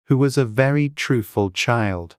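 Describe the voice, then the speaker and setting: Smooth, measured storybook narrator. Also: mid-range voice, no room sound, clean studio sound. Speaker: audiobook narrator.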